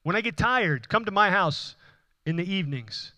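A man preaching from the pulpit, his voice bright and tense and pitched a little high. He drives his points home with repeated phrases that swell in force. The sound is dry and close, with little room around it. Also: lively intonation, moderate pace, no echo. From Houston, Texas. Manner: impassioned, repetitive preaching with rising emphatic runs. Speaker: pastor. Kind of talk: sermon. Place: church.

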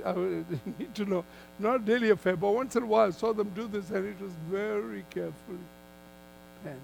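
An older man preaching a sermon as a guest speaker, in emphatic, deliberate phrases that he repeats for weight. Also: high voice, vocal fry, a little mellow, a little hiss or hum, poor audio. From Malaysia.

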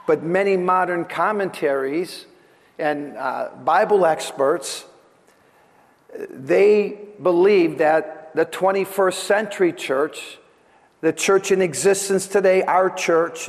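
A man preaching a sermon as a pastor, speaking deliberately and earnestly, his voice slightly off after a throat infection. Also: on the high side. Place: large church hall during a service. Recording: long hall reverb.

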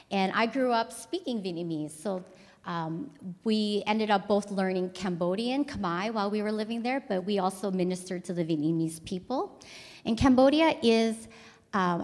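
A woman missionary giving a calm, explanatory church presentation with some fillers. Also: medium pitch, long reverb tail. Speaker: missionary speaker.

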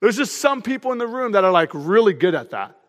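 A man preaching an energetic sermon as pastor, with a loud, emphatic delivery to a room full of people. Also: high-pitched, quick pace. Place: church auditorium. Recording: long hall reverb.

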